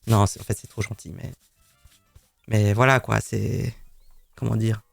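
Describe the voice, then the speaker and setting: A man's voice: fairly low pitch, level tone, unhurried, vocal fry, no echo, soft background music. A man streaming live, chatting casually and rambling teasingly to his cat.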